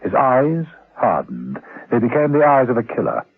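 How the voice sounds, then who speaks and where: A theatrical storyteller on an old, band-limited radio recording. His pitch swings widely as he sets out a spy thriller with dry wit and deliberate suspense. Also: wildly swooping pitch, husky, phone-quality sound, heavily acted. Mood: suspenseful, wry. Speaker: narrator of a radio serial. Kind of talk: dramatic scripted radio narration.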